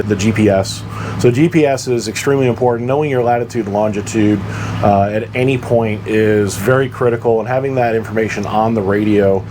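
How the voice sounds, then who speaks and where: Man explaining gear over steady background noise. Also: medium pitch. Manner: explanatory, practical, plain-spoken. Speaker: boating gear reviewer and host. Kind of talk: informal explainer, buyer's guide talk.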